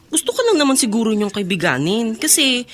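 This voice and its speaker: A woman playing a gently teasing, reasonable teenage school friend in a Tagalog radio drama. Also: a little high, sing-song, fairly quick. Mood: placating.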